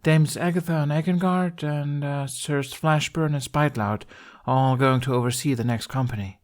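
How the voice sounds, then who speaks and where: A man's voice: fairly high pitch, steady tone, moderate pace, pitched above the performer's own voice, natural voice. A man voicing a tough, exasperated young warrior woman in a fantasy audio story, blunt and teasing. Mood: hopeful.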